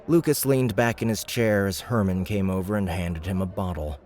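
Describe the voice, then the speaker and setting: A man narrating an audiobook in a steady, measured reading. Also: low voice. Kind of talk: scripted narration.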